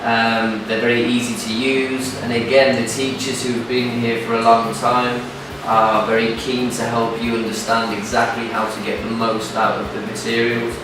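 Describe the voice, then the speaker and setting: A man's voice: mid-range voice, completely flat delivery, normal speed, noisy background. A man from England with an English accent, a teacher speaking in an interview testimonial. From Birmingham, England. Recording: very echoey, distant mic, music over it. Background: music.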